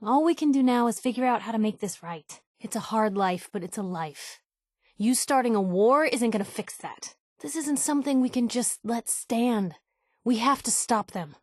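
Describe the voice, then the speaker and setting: A woman's voice: on the high side, expressive, moderate pace, no echo, clean studio sound. An actress playing a principled, wisecracking young woman reporter in a post-apocalyptic video game, talking in a wry, casual, slangy way. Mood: serious, pleading. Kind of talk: video game companion voice lines.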